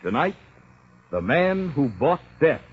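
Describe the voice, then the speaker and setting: Husky, swooping 1940s radio announcer, man. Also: fairly high pitch, big pitch swings, slow. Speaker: sponsor announcer. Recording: muffled, scratchy 1940s radio recording.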